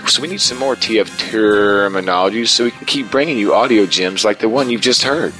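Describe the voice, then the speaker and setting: A man plays a formal, polite sidekick in a podcast skit. His voice sits fairly low and delivers scripted lines over music. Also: fairly quick, a bit bright, close to an everyday voice, low-quality recording.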